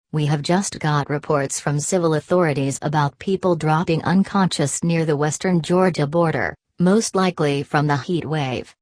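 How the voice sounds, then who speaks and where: A woman's voice: fairly low pitch, darkish tone, no echo. A woman reading a scripted news bulletin as a radio announcer.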